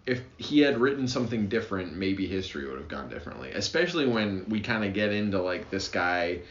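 A man with a lowish voice rambles through a casual history chat, swearing now and then and restarting his sentences, his pitch swinging up and down. The sound is roomy and dull, like a lo-fi home setup. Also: very animated, fairly quick. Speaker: podcast co-host.

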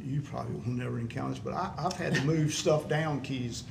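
A man's voice: medium pitch, fairly quick. A middle-aged man, a musician, in a casual interview, rambling a little and repeating his phrases. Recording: distant mic, clearly noisy ambience.

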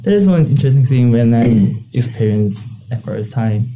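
A young woman student guest who speaks haltingly, searching for her words in casual conversation. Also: rumbling low, expressive, warm tone, rough recording. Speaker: international college student guest.

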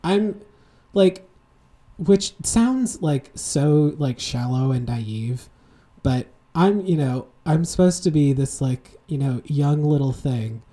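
A man in his twenties co-hosting a casual podcast, telling rambling, animated stories full of fillers and asides. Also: fairly high pitch, slow. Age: 20s. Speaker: podcast co-host. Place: home room, seated with a heating pad.

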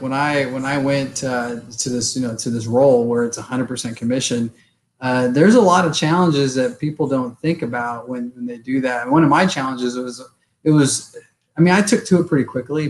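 A middle-aged man hosts a relaxed interview, candid and a bit rambling as he shares his own experiences. His voice sits at an ordinary pitch, plainly conversational. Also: low-quality recording. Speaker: podcast host. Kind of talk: casual interview chat.

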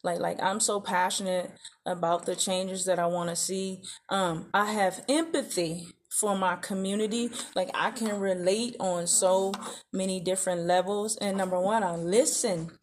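A woman's voice: medium pitch, steady tone, moderate pace, a little hiss or hum. An American woman being interviewed as a political candidate, speaking emphatically and colloquially, repeating phrases to drive points home. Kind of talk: campaign interview, conversational.

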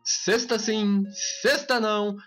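A man's voice: high-pitched, fairly even intonation, slow, a bit bright, faint music behind. A man hosting a comedy podcast, announcing the opening in a playful sing-song. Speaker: podcast host.

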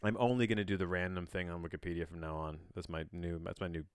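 A man hosting a solo podcast, chatting in a rambling, halting way, often backtracking mid-sentence with a bemused, self-deprecating manner. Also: sits low, steady tone, clean tone, no echo. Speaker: podcast host.

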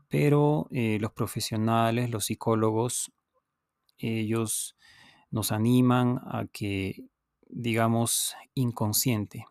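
A man who is a native Spanish speaker hosts an instructional podcast as a teacher, explaining deliberately with pauses and repetition and speaking directly to the listener. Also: fairly low pitch, vocal fry, a little mellow, dry recording, studio quality. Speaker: podcast host and language teacher. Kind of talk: unscripted instructional podcast monologue.

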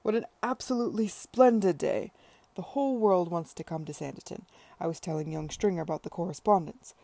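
A woman reading the part of a cheerful middle-aged man who bellows with excitement. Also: lowish voice, fairly quick, vocal fry, no echo. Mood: exuberant. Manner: bellowing, jubilant. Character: boisterous middle-aged man playing naval battles.

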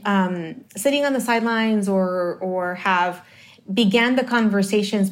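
A woman from the Dominican Republic talking about marketing in a casual conversation, thinking aloud with many fillers and restarts. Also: medium pitch. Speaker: marketing guest.

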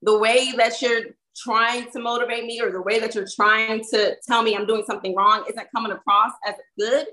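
Assertive woman, higher voice, quick to push back, co-hosting. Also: level tone, sharp tone, poor audio. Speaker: podcast co-host. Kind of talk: casual debate chat.

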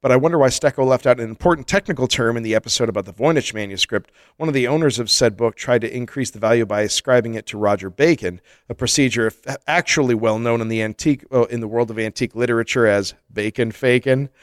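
A man's voice: medium pitch, fast talker, few pauses, dry recording, clean studio sound. A man co-hosting a comedy podcast, reading listener mail aloud in a casual, joking way and laughing often. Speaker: podcast co-host.

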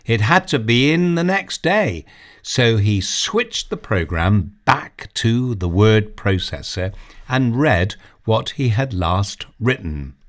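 A man reads a children's story aloud in a slow, measured way. His pitch rises and falls a lot as he tells it, and the delivery stays expressive throughout. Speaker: narrator. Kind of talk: storybook reading.

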